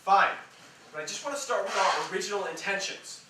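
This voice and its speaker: A man's voice: sits high, animated, fairly quick. A man on stage playing an impatient, bossy writer in a comic play, with emphatic projected delivery. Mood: exasperated. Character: impatient, exasperated young male writer, bossy and argumentative. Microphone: distant recording of the stage. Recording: echoey theatre, distant mic, background noise.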